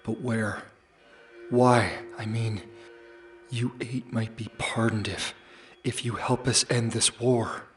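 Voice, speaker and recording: A man playing a brooding human rebel in a sci-fi audio drama, talking low and hushed. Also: fairly even intonation, takes it slowly, soft background music, lightly acted. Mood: urgent, questioning. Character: tense young man, human rebel guiding fugitive androids.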